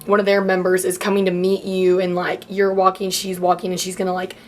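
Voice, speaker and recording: A college freshman in her late teens, chatting in a casual vlog with a slightly hoarse voice as she loses it, rambling with many "like" fillers. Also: fairly low pitch, completely flat delivery, brisk, slight background noise.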